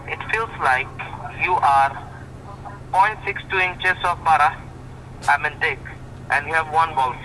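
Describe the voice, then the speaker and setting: A man's voice: fairly high pitch, close to an everyday voice. A man playing a brash, taunting Russian pimp who badgers in blunt, repetitive broken English on a prank call. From Russia (claimed in character). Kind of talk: prank phone call banter. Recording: phone on speaker in car, noisy.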